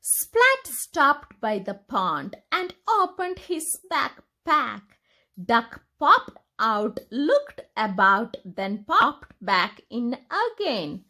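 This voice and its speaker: A woman reading a picture book aloud to young children in an expressive, deliberate, sing-song storytelling manner. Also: fairly high pitch.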